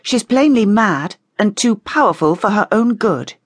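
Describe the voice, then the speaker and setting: A woman's voice: medium pitch, expressive, normal speed, no echo, voice pushed up, lightly acted. A woman voicing a bitter, restless female vampire in an audiobook, speaking with emphatic indignation. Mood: accusing. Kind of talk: audiobook character dialogue.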